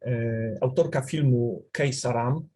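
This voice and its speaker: A man interviewing a guest with measured, polite questions and pauses between phrases. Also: mid-range voice, dark tone, husky. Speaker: festival TV interviewer.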